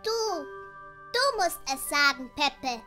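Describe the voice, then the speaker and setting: A woman's voice: high-pitched, big pitch swings, slow pace, pushed and bright, faint music behind, full character voice. A woman voicing a polite, earnest little girl in an audio drama. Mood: earnest, timid.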